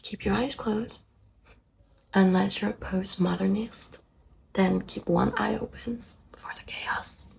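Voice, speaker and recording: Woman playing a slow, whispering ASMR therapist. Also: mid-range voice. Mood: calm, hypnotic. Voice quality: breathy, often whispered. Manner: slow, soothing, hushed, deadpan. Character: calm, soft-spoken woman therapist guiding a parody meditation. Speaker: ASMR performer. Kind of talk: whispered ASMR guided meditation roleplay.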